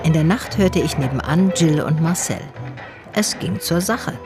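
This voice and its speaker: Low-voiced, thoughtful woman narrator. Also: lively intonation, normal speed, music in the background. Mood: pensive. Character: calm, thoughtful female narrator and concerned friend. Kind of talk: literary first-person narration in radio drama.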